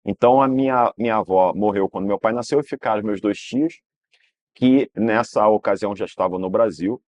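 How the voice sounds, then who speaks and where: Low-voiced Brazilian man telling family stories. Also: brisk, a little mellow, no room sound. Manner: rambling, self-correcting, tag questions. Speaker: interview guest. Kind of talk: relaxed storytelling interview.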